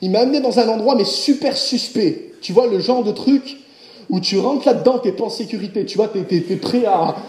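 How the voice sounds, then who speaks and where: A man preaching a sermon, speaking directly to his congregation in an animated way. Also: high-pitched, brisk, roomy sound, slight background noise. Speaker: pastor.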